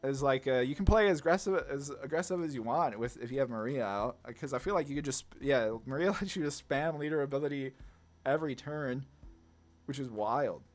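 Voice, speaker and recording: A man with an average-pitched voice thinks aloud as he commentates a game. His talk is casual, slangy and laid-back, like a video host playing along. Music runs underneath his voice. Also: fairly quick.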